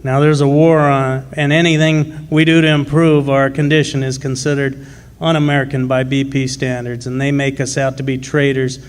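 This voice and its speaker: An actor playing a gruff, proud working-class miner and union man in a radio drama, with plain folksy speech. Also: mid-range voice, flat delivery, normal speed, close to an everyday voice. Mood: aggrieved, firm. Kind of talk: live original radio drama.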